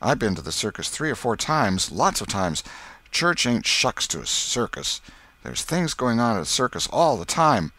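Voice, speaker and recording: A man's voice: mid-range voice, big pitch swings, normal speed, sharp tone, voice pushed up, full character voice. A man voicing an eager, boastful young schoolboy in a storybook, with a folksy, insistent way of talking.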